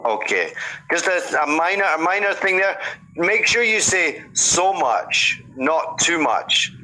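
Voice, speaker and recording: A man tutors English over a video call, his voice bright and forward. He speaks patiently and encouragingly, slowing down to explain new words. A faint ambient hum sits behind him. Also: on the high side, very animated, low-quality recording. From Scotland. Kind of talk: casual conversation lesson.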